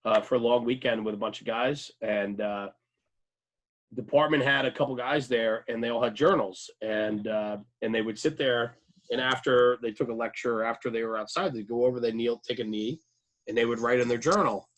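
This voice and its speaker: A man's voice: mid-range voice, moderate pace, a bit bright, poor audio. A man chatting casually as a radio show host, informal and enthusiastic, with plenty of slang. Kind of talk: casual chat.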